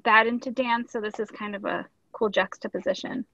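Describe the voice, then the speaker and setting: A woman's voice: mid-range voice, fairly even intonation, fairly quick, vocal fry, bright, forceful tone. A woman reading a picture book aloud as a teacher, expressively, with instructive asides. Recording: echoey room, dull lo-fi audio.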